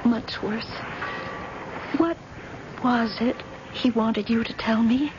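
A woman's voice: a little high, slow. An actress playing a frightened, unhappy married woman in a secret affair, with hesitant, anxious delivery in an old-time radio drama style. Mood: bitter, pained. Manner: hesitant, anxious, breaking into breathy near-whispers. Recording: dull noisy vintage radio drama broadcast.

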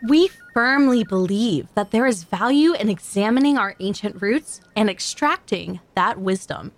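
A woman's voice: medium pitch, lively intonation, moderate pace, no echo, natural voice. An actress playing an earnest female charity representative with a sugary, on-message delivery.

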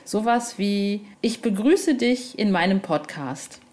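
A woman's voice: medium pitch, expressive, slow, darkish tone. A woman hosting a casual podcast interview, chatting in an informal, enthusiastic way.

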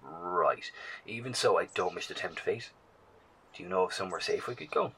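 An actor playing an earnest, shaken male survivor in an audio drama, speaking in halting, formal, emphatic phrases. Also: sits low, bright, forceful tone, a little hiss or hum. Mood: apologetic, uneasy.

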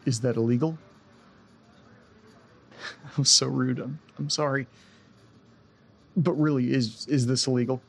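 An actor playing a dazed, anxious, apologetic man in a naturalistic audio drama, speaking haltingly in a husky, creaky voice. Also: medium pitch, fairly even intonation. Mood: flustered, apologetic. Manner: halting, rambling, sighing.

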